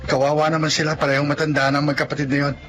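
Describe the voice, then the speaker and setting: A male actor plays a good-natured young Filipino friend in a radio drama. He speaks casually in a moderately high voice, with soft music underneath. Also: little pitch movement, rapid-fire, close to an everyday voice. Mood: concerned. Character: cheerful, kindhearted young male neighbor friend.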